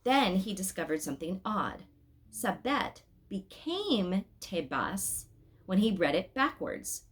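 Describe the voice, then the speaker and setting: Animated woman reading a story aloud. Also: medium pitch, slow. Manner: animated, addresses listeners with asides. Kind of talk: expressive informal read-aloud narration.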